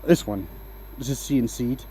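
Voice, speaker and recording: A man's voice: medium pitch, moderate pace, a little hiss or hum. Danish man talking through woodturning. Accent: light Danish, mostly a mix of English accents picked up online. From Denmark. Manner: relaxed, explains as he works, asks "right?" often. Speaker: woodturning livestream host. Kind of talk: casual live-stream talk while working. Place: workshop.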